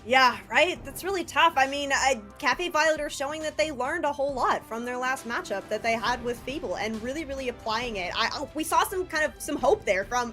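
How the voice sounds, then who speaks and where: A woman's voice: high voice, a bit bright. A woman giving analytical esports commentary in a conversational, explanatory way.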